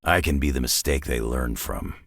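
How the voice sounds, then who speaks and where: A man voicing a gruff, grizzled, wry mutant brawler from a video game, with a gravelly growl and blunt, laconic, folksy delivery. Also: a bass voice, moderate pace, a bit bright, no echo, full character voice. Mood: dry, gruff. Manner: blunt, dry, laconic tough-guy delivery with folksy slang. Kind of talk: scripted game character voice lines.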